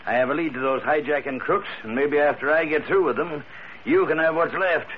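An actor playing a gruff, grumpy, sarcastic police sergeant in a 1940s radio drama. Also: male voice, on the high side, fairly quick, natural voice. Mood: blustery. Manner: blustery, sarcastic, working-class grammar. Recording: dull, noisy old radio recording.

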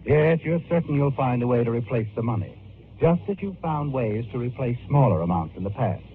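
A man's voice: medium pitch, expressive, a little fast, a little hiss or hum, natural voice. Man acting an ominous, swooping old-radio narrator. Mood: knowing, dramatic. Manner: dramatic, sinister, knowing storyteller. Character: ominous, all-knowing male narrator of crime tales. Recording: tinny old radio recording, music underneath.

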